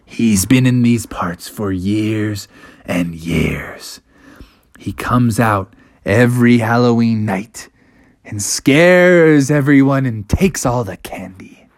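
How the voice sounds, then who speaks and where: A storyteller voicing a mysterious father bear in a hushed, breathy whisper for a children's story. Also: mid-range voice, expressive, takes it slowly, no room sound, studio quality, voice pushed down.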